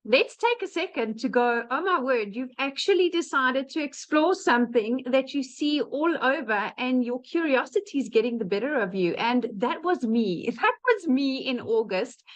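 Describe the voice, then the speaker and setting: A woman's voice: high-pitched, expressive, moderate pace, hardly any pauses. A woman with a South African accent giving a chatty webinar testimonial over a video call, with frequent "um" fillers. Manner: chatty, frequent "um" fillers, laughs between phrases. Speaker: guest speaker. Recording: muffled lo-fi online call audio.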